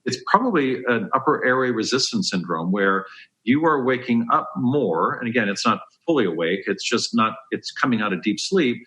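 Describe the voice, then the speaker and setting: A man's voice: medium pitch, lively intonation, normal speed, fairly bright tone, low-quality recording. A man giving health advice as a dentist on a podcast, explaining step by step in an emphatic, conversational way.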